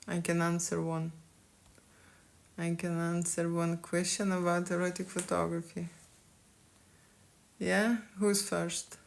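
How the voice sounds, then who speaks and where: A middle-aged woman with a Lithuanian accent speaks slowly on a live stream, her low voice thick with gravelly fry. She tags nearly every thought with a questioning "okay?" as she talks to her viewers. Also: fairly bright tone. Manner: frequent "okay?" tag questions. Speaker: boudoir photographer. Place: studio in London.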